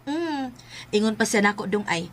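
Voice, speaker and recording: A woman with a Cebuano Filipino accent playing a chatty, expressive housewife in a comedy drama. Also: medium pitch, moderate pace, natural voice. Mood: gossipy. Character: chatty, easily impressed housewife and landlady.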